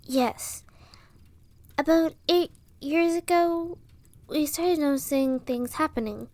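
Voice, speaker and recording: A woman plays an earnest young village rebel in a fantasy audio drama. Her voice sits high and she speaks slowly, with sorrowful gravity and halting pauses. The recording is close and dry. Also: darkish tone, no echo. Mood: grave, earnest. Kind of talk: audio roleplay acting, storytelling dialogue.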